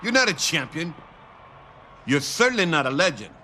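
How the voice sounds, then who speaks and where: A man's voice: fairly high pitch, sing-song, moderate pace, bright, forceful tone, slight background noise. A man playing an indignant, moralizing wrestler in a video game, stern and scolding.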